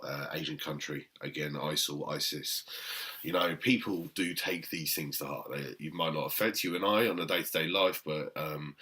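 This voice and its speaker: A man from Britain speaks in a very deep, low voice with a forceful, bright edge. He lectures fellow trainers emphatically, pausing on "um" and checking in with "okay?". It sounds like an unscripted talk to camera. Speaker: personal trainer.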